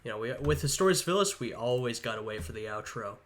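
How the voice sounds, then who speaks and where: A man's voice: medium pitch, lively intonation, quick pace, music in the background. A congested man, a history student, giving casual reaction commentary and thinking aloud with frequent hedges. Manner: thinking aloud, hedging and musing.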